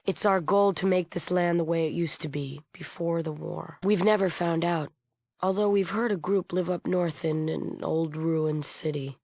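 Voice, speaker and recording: A principled woman leading a peace movement in a post-apocalyptic game. Her voice is lowish with a gravelly creak, recorded very dry. She sounds earnest, formal and firm. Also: fairly even intonation, moderate pace, darkish tone, no room sound. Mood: earnest, resolute. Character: earnest, resolute female leader of wasteland peace movement. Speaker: game character. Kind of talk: scripted video game dialogue.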